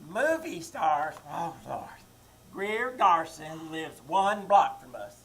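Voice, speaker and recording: A woman's voice: sits low, animated, slow, slight background noise, heavily acted. A woman playing a nagging, self-pitying elderly mother who insists she is no bother, in a live old-time radio comedy. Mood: grumbling. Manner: passive-aggressive, self-pitying repetition. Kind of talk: 1940s radio comedy acting. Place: live theater stage.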